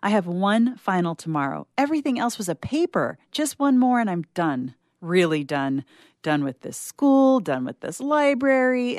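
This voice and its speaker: A woman's voice: mid-range voice, no room sound, clean studio sound. A woman playing a stressed, sardonic young university student in a rambling, slangy, self-mocking story monologue. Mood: frustrated, weary. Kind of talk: dramatic monologue reading.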